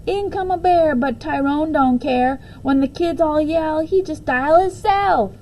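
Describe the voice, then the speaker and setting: Storybook role: cheeky second-grade boy played by a woman, raised pitch, slangy, defensive, mellow tone. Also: unhurried, a little hiss or hum, low-quality recording. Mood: rhythmic rapping. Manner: playful, ungrammatical slangy talk.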